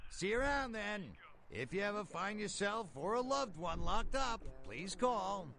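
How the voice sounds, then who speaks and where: A man's voice: high-pitched, animated, measured pace, vocal fry, bright, forceful tone, exaggerated voice. An actor playing an easygoing, slick bail bondsman in a video game, with folksy, slangy salesman patter. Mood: breezy, friendly. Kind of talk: video game character acting.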